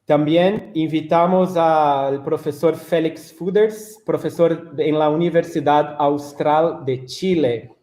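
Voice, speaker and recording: A man whose first language is Portuguese, with a Brazilian accent, moderating a panel and introducing guests in halting Spanish. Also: on the high side, fairly even intonation, dark tone. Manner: hesitant, halting, with fillers.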